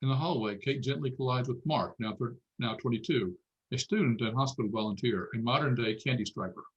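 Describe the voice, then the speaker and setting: A man's voice: medium pitch, a little fast, highs cut off. Man reading screenplay stage directions. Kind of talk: scripted narration, read aloud.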